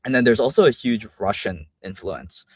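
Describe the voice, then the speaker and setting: A young man from Vietnam, speaking with an American accent as a guest on an interview show. His low-pitched voice is recorded dry and close, with no room around it. He rambles comfortably, with plenty of "um" and "like" between thoughts. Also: moderate pace, husky, no echo. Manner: relaxed, many fillers like "um" and "like". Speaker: guest, company founder. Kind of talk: casual interview chat.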